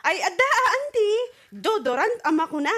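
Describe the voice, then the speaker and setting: Obliging young niece, Ilocano radio comedy, played by a woman. High-pitched, lively, talkative. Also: normal speed, full character voice. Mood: chatty.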